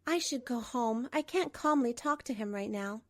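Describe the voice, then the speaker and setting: A woman's voice: fairly high pitch, animated, moderate pace. A woman reading a scripted first-person drama narration for a manga story dub. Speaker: narrator and lead voice actor.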